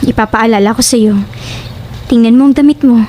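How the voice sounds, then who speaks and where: A woman plays a loyal, anxious young Filipina in a horror audio drama. Her voice is high and urgent, imploring and earnest. A faint noise sits behind the voice. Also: normal speed, mellow, close to an everyday voice. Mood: quiet, ominous. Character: worried, earnest young woman, loyal friend urging confession.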